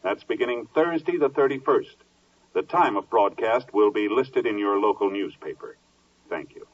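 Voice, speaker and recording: An American man reading scripted announcements formally and husky-voiced, in vintage 1950s radio style. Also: on the low side, animated. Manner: formal, measured. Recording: muffled vintage radio broadcast, distant.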